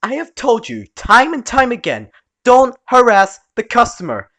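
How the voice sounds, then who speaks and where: A man's voice: extremely high pitch, huge pitch swings, a little slow, a bit bright, pitched above the performer's own voice, full character voice. A man voicing a stern elderly woman who runs a restaurant. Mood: furious. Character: stern elderly Italian aunt, fierce then kind.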